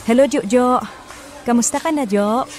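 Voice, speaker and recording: A sweet young trainee who coaxes and flatters, as a woman voices her. Her voice sits fairly high, with faint music playing under the scene. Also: moderate pace, natural voice. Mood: coaxing.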